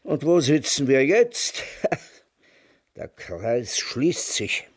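An actor playing a sardonic, world-weary old emigrant in a German radio drama, dry and deliberate in manner. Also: male voice, medium pitch, sing-song, breathy edge, heavily acted. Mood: wistful reminiscing. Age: older.

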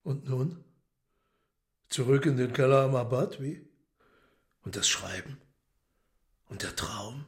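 An actor playing a composed, commanding Satan in a radio drama, grave and measured. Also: male voice, mid-range voice. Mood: grave, knowing.

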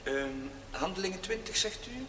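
A man's voice: high-pitched, expressive, moderate pace. A man playing a flustered young chaplain, stammering and hesitant. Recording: echoey distant hall, noticeable hiss.

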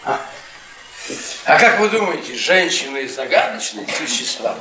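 An actor playing a bitter, tipsy middle-aged history professor in a radio play, drawling ironic, needling questions. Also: male voice, mid-range voice, big pitch swings, unhurried, bright, forceful tone. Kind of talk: Russian radio theatre acting. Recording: echoey stage-like room, distant mic.

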